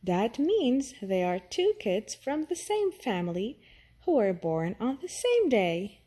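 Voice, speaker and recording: A woman reads a mother platypus from a children's storybook. She is a friendly, courteous doctor with a high voice and lilting intonation. She explains things in a welcoming, unhurried manner. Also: sing-song, mellow, full character voice. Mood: proud, explaining.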